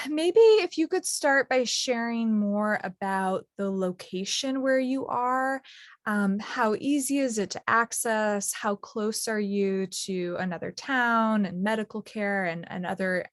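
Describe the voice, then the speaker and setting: A woman's voice: mid-range voice, unhurried, dry recording. A woman hosting a virtual tour, thanking speakers and steering to the next questions. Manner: warm, affirming, steers to questions. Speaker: tour host. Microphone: video call. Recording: dry close audio.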